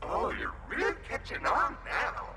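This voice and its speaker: A man's voice: extremely high pitch, wildly swooping pitch, a little slow, exaggerated voice. A man voicing a taunting glitchy grinning entity. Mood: teasing. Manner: taunting, condescending, sing-song. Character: mocking glitchy grinning mind entity, male. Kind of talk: audio drama acting.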